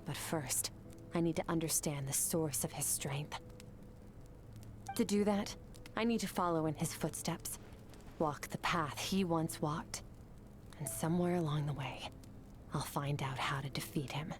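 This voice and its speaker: An actress playing a calm, detached female swordmaster who speaks tersely and half-whispers at times. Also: low-pitched, a little slow, pauses often, a little hiss or hum. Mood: cool. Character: cool, composed female swordmaster from another world.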